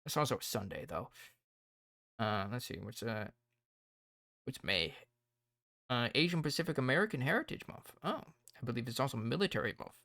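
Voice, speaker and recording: A man streaming casually, rambling and thinking aloud as he plans, his voice tired and croaky. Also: medium pitch, sing-song, moderate pace, long pauses, bright, forceful tone, no echo, studio quality. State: hoarse, tired voice. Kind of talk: casual livestream chat.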